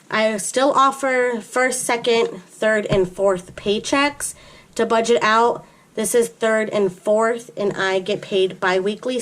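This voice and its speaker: Woman host just over a cold, unhurried delivery, chatty product walkthrough, pausing to catch her breath. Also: mid-range voice. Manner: chatty, filler-heavy, describing items one by one. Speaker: planner sticker shop owner and vlogger. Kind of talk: casual show-and-tell product walkthrough.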